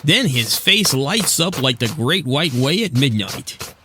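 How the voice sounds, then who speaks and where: A man's voice: mid-range voice, very animated, a little slow, bright, forceful tone, slight background noise. A man playing a cynical American penguin private eye, narrating in slangy, world-weary 1940s noir style. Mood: wry. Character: hard-boiled penguin detective, cynical and guilt-ridden. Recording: music behind the voice.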